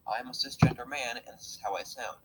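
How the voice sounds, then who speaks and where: Bright-voiced young man, casual commentary. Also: medium pitch, quick pace, a little hiss or hum, low-quality recording. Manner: reads out quotes and argues points.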